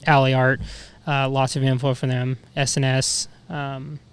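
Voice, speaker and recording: A man's voice: mid-range voice, level tone, a little slow, clear, resonant tone. A man chatting casually as a podcast guest, slangy, profane and self-deprecating. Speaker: podcast guest, motorcycle racer.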